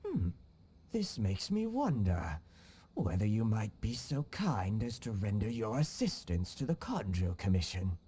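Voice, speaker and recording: A man voicing a genial, wily middle-aged nobleman with a courtly, unhurried manner in a video game cutscene. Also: on the low side, big pitch swings, dark tone, a little hiss or hum. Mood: flattering. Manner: courtly, unhurried, slyly affable.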